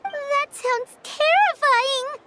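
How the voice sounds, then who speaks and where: A woman's voice: extremely high pitch, very slow, full character voice. A woman voicing a small, cute, childlike and easily startled companion creature in a video game. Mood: frightened, hesitant. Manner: childlike, expressive, hesitant when scared. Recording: background music under the voice.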